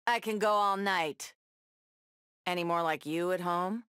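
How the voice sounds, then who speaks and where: A woman's voice: lowish voice, measured pace, fairly bright tone, clear, resonant tone, dry recording, clean studio sound. A woman playing a tough, sassy superheroine in a video game, trading confident, defiant taunts and wry one-liners. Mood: cocky, taunting. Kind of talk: video game battle banter.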